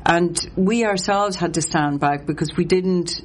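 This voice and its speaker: A middle-aged woman from Donegal with a Donegal Irish accent, a native Gaelic speaker, talking as a singer in a relaxed interview, hesitant and reflective, restarting her sentences often. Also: on the low side, unhurried. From Donegal, Ireland. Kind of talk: casual interview chat.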